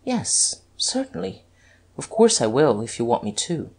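A haughty duke from a storybook, as performed by a narrator. The intonation swoops freely, cool and mocking, with a slightly husky grain to the voice. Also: mid-range voice, sing-song, moderate pace, a little mellow. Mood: gentler. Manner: haughty, icy, teasing.

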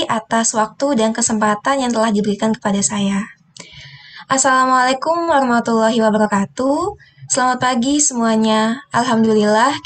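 A woman's voice: fairly high pitch, slight background noise. A young woman moderating a session, polite and formal as she introduces the speaker over a video call. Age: young adult. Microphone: video call webcam.

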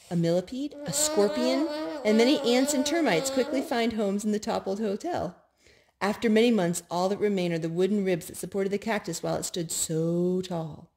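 A woman reading children's picture books aloud in an expressive, measured storytime style. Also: medium pitch. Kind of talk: read-aloud storytime narration. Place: indoors at home.

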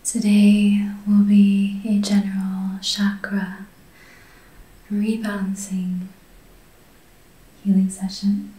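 A woman speaks in a hushed, breathy ASMR style, guiding gently and very slowly. Her tone is extremely mellow and soothing, with little rise or fall. The sound has a noticeable roomy echo. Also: mid-range voice, completely flat delivery. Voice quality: breathy, whispery, soft-spoken. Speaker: ASMR Reiki practitioner and host. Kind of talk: soft-spoken ASMR guided healing session.

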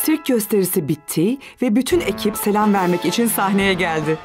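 A woman's voice: mid-range voice, lively intonation, brisk, lightly acted. A woman reading scripted narration for a children's cartoon. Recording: music under the voice.

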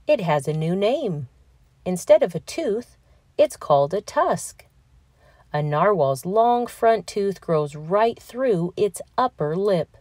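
A woman reading a children's picture book aloud in a deliberate, expressive storytelling manner for young listeners. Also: on the low side, no room sound. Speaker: children's read-aloud narrator.

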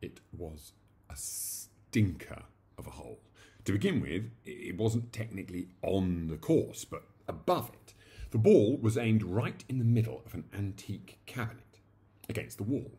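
A man in his forties reading a comic short story in a theatrical, expressive way, recorded in a small room at home. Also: fairly low pitch, measured pace. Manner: theatrical, animated. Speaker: story reader.

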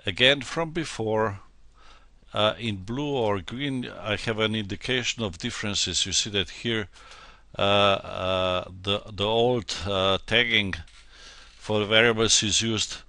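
A man with a Slovenian accent, a native Slovenian speaker, giving an unscripted software tutorial in a halting, hesitant way. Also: on the low side, dry recording. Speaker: translator demonstrating software.

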